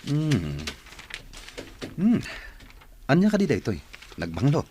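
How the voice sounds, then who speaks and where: An actor playing an easygoing but businesslike company boss in an Ilocano radio drama. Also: male voice, fairly high pitch, wildly swooping pitch, slow pace, warm tone, a little hiss or hum, exaggerated voice. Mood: curious, pleased.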